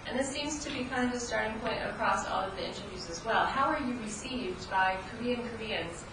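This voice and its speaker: A woman's voice: on the high side, fairly quick. A woman researcher co-presenting at an academic conference, reflective and explanatory, with some hesitations. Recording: echoey hall, distant mic, noisy.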